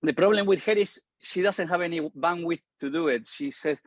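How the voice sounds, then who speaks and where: Halting man on a dry call. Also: fairly high pitch, no echo. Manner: halting, restarts, non-native phrasing. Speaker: call participant. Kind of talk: casual conversation.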